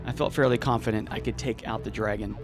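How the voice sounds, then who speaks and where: A man's voice: fairly low pitch, quick pace, over music. Chatty Texan man narrating gameplay. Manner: chatty, excitable, casual with filler words. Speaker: gaming YouTuber. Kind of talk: let's play voiceover commentary.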